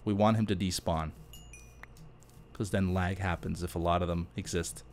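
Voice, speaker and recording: A man's voice: sits low, steady tone, normal speed. Man chatting casually over game noise. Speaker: gaming YouTuber host. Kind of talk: casual chatty let's play commentary.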